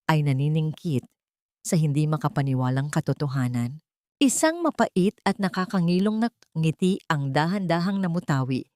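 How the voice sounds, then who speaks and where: A woman storyteller reading a scripted dramatic story in measured, solemn phrases. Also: low voice, a little mellow, no room sound, clean studio sound.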